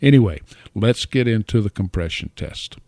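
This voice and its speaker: Mature man, lowish voice, deliberate phrasing, informal hobbyist demo, close dynamic mic, no room sound. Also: animated, darkish tone, no echo. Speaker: amateur radio hobbyist reviewing microphones. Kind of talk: informal explanatory product demo narration. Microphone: close dynamic podcast or studio microphone.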